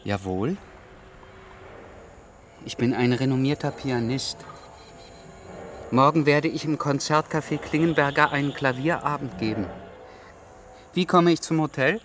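An actor playing a pedantic, easily exasperated traveller in a German radio drama, wordy and theatrically bewildered. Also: male voice, mid-range voice, measured pace, pauses often, fairly bright tone, natural voice. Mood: courteous.

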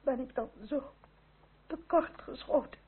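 A woman's voice: high-pitched, a little slow, slightly rough. An actress playing a timid, anguished noble mother in an old radio melodrama, faltering and pleading. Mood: hurt, pleading. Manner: faltering, pleading, breathless exclamations. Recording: old radio recording, phone-like, faint noise.

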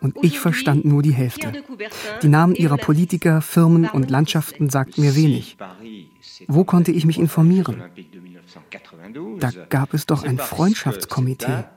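A man's voice: fairly high pitch, moderate pace, long pauses, lightly acted. An actor playing a bookish young East German man, narrating and speaking in a radio drama with dry, precise irony. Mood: wry. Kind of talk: first-person radio drama narration and dialogue. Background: music.

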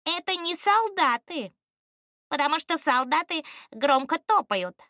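A woman's voice: sits high, sing-song, unhurried, fairly bright tone, dry recording, studio quality, voice pushed up, heavily acted. A woman voicing a nervous, stubborn male ostrich creature in a storybook, suspicious and self-important, with an urgent, blunt way of talking. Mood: fearful, convinced.

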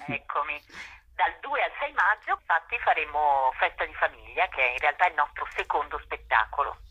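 A woman's voice: low-pitched, expressive, moderate pace, pushed and bright, husky, highs cut off. A woman from a theatre company explaining her work as a guest in a phone interview. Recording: telephone connection.

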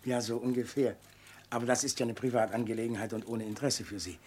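Actor as an embittered, husky-voiced uncle. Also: male voice, medium pitch, little pitch movement, quick pace, darkish tone, natural voice. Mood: curt. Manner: curt, defensive, indignant. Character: grudging, suspicious, embittered uncle under questioning. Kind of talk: German radio crime drama acting.

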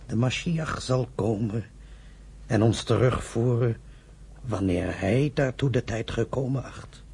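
Trage, hese, strenge vrome vader. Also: male voice, medium pitch, darkish tone, full character voice. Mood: stern admonishing. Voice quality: husky, gravelly. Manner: solemn, measured, admonishing. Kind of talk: radio drama acting.

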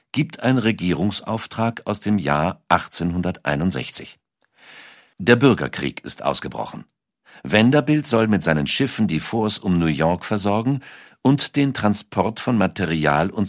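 A man reading scripted documentary narration in a measured, dramatic storytelling manner. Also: sits low, no echo, studio quality.

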